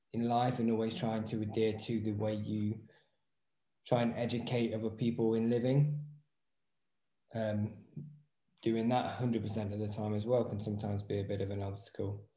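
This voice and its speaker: A man's voice: on the low side, dark tone. A man in his thirties talking casually and reflectively as an interview guest, explaining his work as a personal trainer over a video call. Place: small indoor room at home, video call. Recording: roomy echo on voice.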